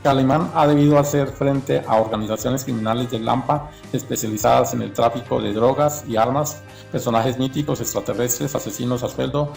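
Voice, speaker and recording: A man's voice: mid-range voice, vocal fry, darkish tone. A man reading scripted documentary narration.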